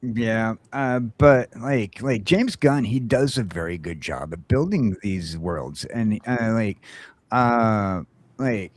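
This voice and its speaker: A man's voice: lowish voice, takes it slowly. A man on a casual podcast chat, rambling and filling his talk with "like" and "uh.". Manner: rambling, lots of "like" and "uh". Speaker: podcast co-host or guest.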